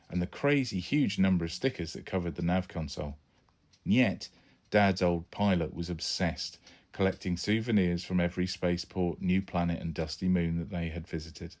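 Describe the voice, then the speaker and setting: A man's voice: low-pitched, a little mellow. A man reading his own children's adventure story as an audiobook, acting out the characters as he goes. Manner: storytelling, voices each character. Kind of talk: scripted audiobook narration.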